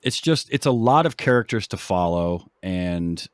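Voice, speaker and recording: A man with a fairly low voice hosts a casual podcast about films. He explains in a measured, halting manner, recorded close and dry with little room sound. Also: lively intonation, clear, resonant tone, no echo. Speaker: podcast host. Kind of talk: casual film discussion.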